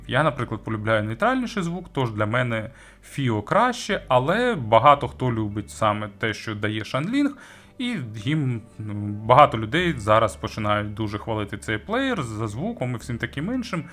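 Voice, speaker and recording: A man's voice: mid-range voice, very animated, moderate pace, fairly bright tone. A man giving a casual, conversational product review, explaining things informally as the host. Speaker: audio gear reviewer and host. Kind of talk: casual semi-scripted product review commentary.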